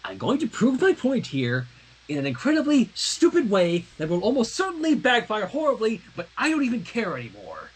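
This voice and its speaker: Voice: medium pitch, huge pitch swings, normal speed, slightly rough, voice pushed up, rough recording. A livestream reader voicing an exasperated, defiant teenage girl in a fan fiction story, incredulous and emphatic.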